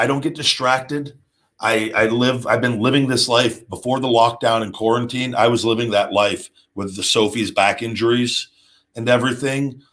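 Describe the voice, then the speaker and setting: A man's voice: mid-range voice, fairly bright tone. A man in his late thirties hosting a casual, off-the-cuff talk show, rambling and often restarting his sentences. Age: 30s. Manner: rambling, halting, with repeated restarts. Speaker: show host, former pro wrestler. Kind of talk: casual off-the-cuff monologue answering viewers' questions.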